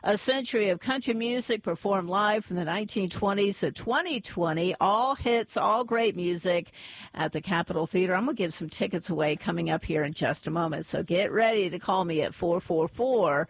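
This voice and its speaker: A woman's voice: fairly low pitch, dry recording. A woman hosting a morning radio show, chatting casually with a co-host and reading sponsor spots and community notices. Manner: chatty, laughs easily, reads ads and community notices briskly. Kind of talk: casual on-air chat and live sponsor reads. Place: radio studio. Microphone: studio microphone.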